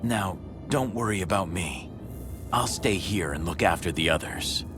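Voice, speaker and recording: A dutiful police commissioner in a mystery game. His low voice sounds sincere and weighty, with music playing clearly behind it. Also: lively intonation, a little slow. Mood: helpful. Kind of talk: video game cutscene acting.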